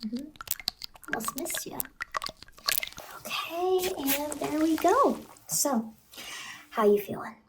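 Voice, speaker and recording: Woman playing a cheery eerie female clown, high voice, soft coaxing, sly and doting, horror ASMR roleplay, noisy background. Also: expressive, slow, pauses often, heavily acted. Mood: soothing, doting. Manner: soft coaxing reassurance, talking to a child up close.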